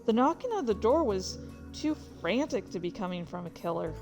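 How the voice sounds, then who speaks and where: A woman's voice: mid-range voice, big pitch swings, normal speed, a little mellow, faint music behind. A woman reading their own fan fiction aloud as a streamer, moving between story narration and casual asides.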